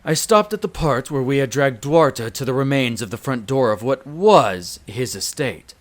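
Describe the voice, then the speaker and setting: A man voicing a proud, reserved vampire master with a secret fondness, halting and commanding by turns in an audio drama. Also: mid-range voice, animated, natural voice. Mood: brisk, dismissive. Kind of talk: audio roleplay drama acting.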